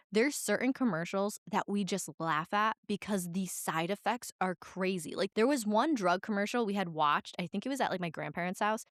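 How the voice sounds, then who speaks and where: A woman's voice: mid-range voice, dry recording. A woman in her twenties hosting a solo podcast while sick, her voice close to cracking, talking in a chatty, rambling, self-deprecating way. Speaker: podcast host.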